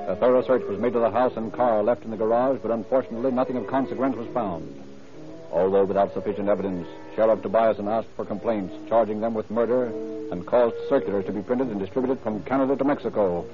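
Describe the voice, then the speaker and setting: A man narrating an old radio crime drama in a formal, measured storytelling manner. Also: fairly low pitch, natural voice. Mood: grave, matter-of-fact. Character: male narrator of a true-crime police drama. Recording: dull old radio recording, music behind.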